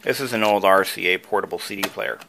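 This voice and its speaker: A man's voice: deep voice, fast, vocal fry, noisy background. A man narrating a casual how-to video as its host, explaining things informally with asides. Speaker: video creator and host, antique radio hobbyist.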